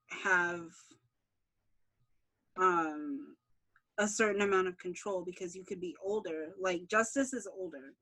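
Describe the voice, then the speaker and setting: A woman's voice: medium pitch, fairly bright tone, low-quality recording. A woman series creator answering interview questions in a casual way.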